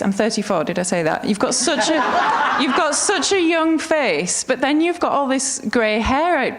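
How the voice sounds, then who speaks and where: An Australian woman in her thirties leads a panel, asking thoughtful questions with some hesitation. Her voice sits slightly high. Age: 30s. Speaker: panel moderator. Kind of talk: moderating a panel discussion.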